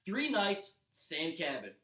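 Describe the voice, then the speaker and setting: A man voicing a kind, affectionate, even-tempered mother in a storybook read-aloud. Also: a little high, takes it slowly, exaggerated voice. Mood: warm, upbeat. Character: Percy's kind, soothing, doting mother, adult woman. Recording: roomy echo.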